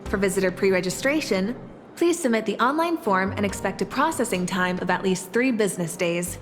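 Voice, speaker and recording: A woman as an officious, mid-pitched announcer. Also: lively intonation, soft background music, lightly acted. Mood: polite, procedural. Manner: measured, officious. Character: formal, procedural female station announcer and receptionist. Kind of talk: video game voice acting.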